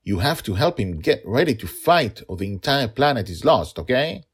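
A man's voice: mid-range voice, very animated, normal speed, husky, voice pushed up. A man with a non-native English accent voicing a heroic pirate father who urgently coaches his little daughter in an emphatic, commanding way. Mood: urgent, instructive.

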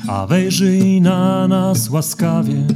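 A man's voice: sits high, lively intonation, slow. A man singing a penitential religious song in a pleading, prayerful manner. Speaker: singer. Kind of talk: slow sung penitential religious song with guitar. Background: plucked guitar music.

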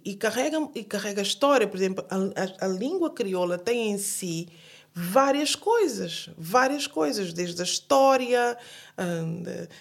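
A woman's voice: on the high side, vocal fry. A woman, answering an interview in an earnest way, often restarting and repeating words. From Portugal. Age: 40s. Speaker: guest. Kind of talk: interview conversation.

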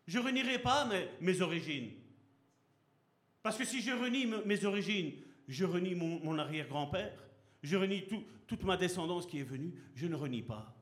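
A man's voice: sits high, lively intonation, moderate pace. A man preaching a sermon as pastor, speaking with passion and throwing rhetorical questions at his congregation. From Belgium. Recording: echoey hall.

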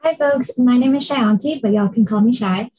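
A young woman from Hawaii and Nevada, a student guide, introducing herself with bright confidence. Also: on the high side, moderate pace, slightly rough, no room sound. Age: young adult. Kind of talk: casual self-introduction.

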